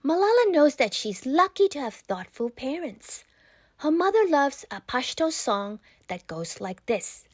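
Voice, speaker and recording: A woman narrating a children's picture book aloud in a measured, expressive reading style. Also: high-pitched, dry recording, clean studio sound. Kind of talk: scripted storybook narration.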